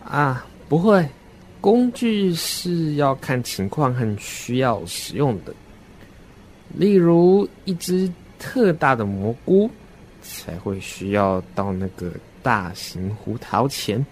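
A man playing a calm, matter-of-fact, sinister doctor in a horror radio drama. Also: medium pitch, takes it slowly, slight background noise, pitched below the performer's own voice. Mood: calm, professional.

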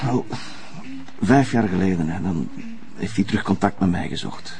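A man's voice: low-pitched, moderate pace, over music. An actor playing an earnest, hesitant male inventor in a radio play, speaking with a Flemish accent. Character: earnest male inventor, touchy about being mocked.

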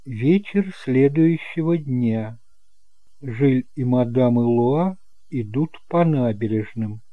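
A man's voice: mid-range voice, expressive, slow pace, dry recording, phone-quality sound. A man reading scripted dramatic narration for an audiobook. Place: close, dry home recording.

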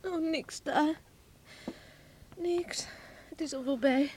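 Very high, pleading young woman. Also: slow, a little mellow, no room sound. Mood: subdued, pleading. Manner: pleading, anguished. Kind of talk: Dutch radio play acting.

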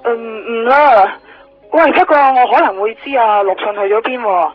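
An actress playing an evasive, distracted friend in a radio drama, hesitant, with a Hong Kong Cantonese accent. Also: female voice, a little high, moderate pace, natural voice. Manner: hesitant, stalling. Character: evasive, distracted woman friend juggling phone calls. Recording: muffled lo-fi radio broadcast with music.

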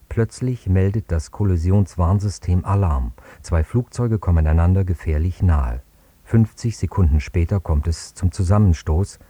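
A man presenting a radio play in a measured, sober, scripted introduction. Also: sits low, warm tone, slightly rough, no room sound.

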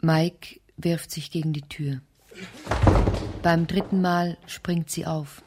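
A woman's voice: low-pitched, steady tone, slow pace. A woman narrating a bleak radio play in short, detached scripted lines. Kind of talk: sparse scripted scene narration. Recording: loud background noise.

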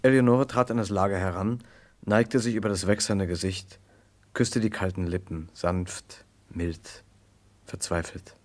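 Low-voiced, earnest gentleman sleuth. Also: fairly even intonation, measured pace. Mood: grave narration. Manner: formal, earnest, polite. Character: earnest, gallant gentleman narrator, loyal amateur sleuth. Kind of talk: German radio crime drama acting and narration.

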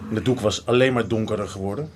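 A man's voice: on the low side, fast, slight background noise, natural voice. A man playing a young art history student, obsessive and teasing, in a radio drama. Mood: obsessive, animated. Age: young adult.

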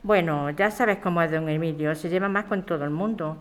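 Una mujer que interpreta a una madre de mediana edad, atenta y preocupada, con tono conversacional en una radionovela. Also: female voice, lowish voice, a little fast, lightly acted. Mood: concerned, persuasive. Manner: concerned, conversational. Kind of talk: radio drama acting.

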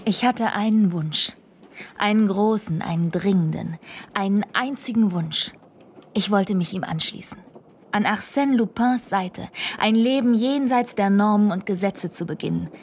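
An actress playing a young American bank teller turned would-be adventurer, narrating and speaking in a dreamy, often whispered way. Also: female voice, medium pitch, a little slow, slight background noise, close to an everyday voice. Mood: earnest. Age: young adult. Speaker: narrator and heroine. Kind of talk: radio play narration and dialogue.

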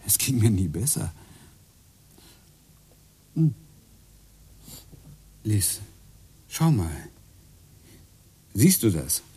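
An obsessive coincidence-hunting husband. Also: male voice, on the low side, sing-song, very slow, pauses often, mellow, breathy edge, exaggerated voice. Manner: earnest, eager, lecturing. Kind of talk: radio play acting.

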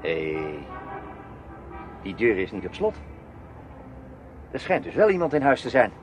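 An actor playing a brisk, teasing, unafraid man in a radio drama. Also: medium pitch, wildly swooping pitch. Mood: calm, teasing. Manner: brisk, bantering. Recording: muffled radio play recording, faint noise.

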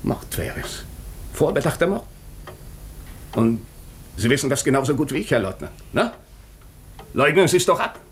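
A man's voice: fairly high pitch, lively intonation, lightly acted. Man playing an earnest, insistent doctor, noisy recording. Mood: accusing, forceful. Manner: urgent, insistent, deliberate rhetorical questioning. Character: earnest, probing male doctor, morally insistent. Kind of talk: German radio drama acting.

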